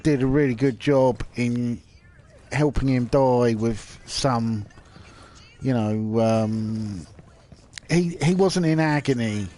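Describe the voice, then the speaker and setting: Slow, nasal man livestreaming. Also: medium pitch, mellow. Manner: hesitant, many ums and restarts. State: nasal, bunged up from hay fever. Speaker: livestreamer playing a video game. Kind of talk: casual rambling commentary over gameplay. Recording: game sounds and vehicle noise behind. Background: video game audio with vehicles.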